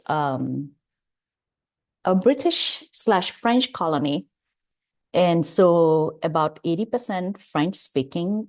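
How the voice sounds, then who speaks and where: A woman with a Cameroonian accent speaks in a low, dark, mellow voice. She gives a webinar talk over a video call, chatty and personal, with asides to her listeners. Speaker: guest presenter, pharmacist. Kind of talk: webinar presentation.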